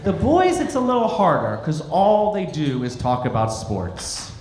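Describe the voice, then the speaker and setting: A middle-aged American man doing live stand-up comedy, telling stories with deliberate pauses and acted-out quotes. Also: fairly high pitch, wildly swooping pitch, a little mellow. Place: indoor comedy club stage. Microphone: stage microphone. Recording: roomy echoing club, some noise.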